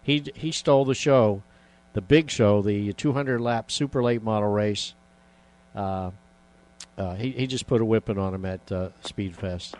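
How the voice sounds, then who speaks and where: A man's voice: on the low side, unhurried, darkish tone, dry recording. Rambling male radio host. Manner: rambling, full of uh fillers and restarts. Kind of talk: casual radio chat.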